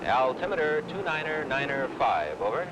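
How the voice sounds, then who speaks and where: A man plays a steady air traffic controller with a medium-pitched voice. He gives methodical, precise radio instructions. The vintage film soundtrack is clearly noisy. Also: normal speed, natural voice. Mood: steady, focused. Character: steady radar approach controller guiding a plane down.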